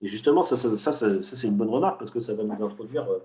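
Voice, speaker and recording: A man's voice: fairly low pitch, fast talker, noisy background. A man, an economics teacher, explaining ideas patiently in an interview, with frequent hesitations and restarts. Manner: didactic, many hesitations and restarts. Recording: roomy, from a distance.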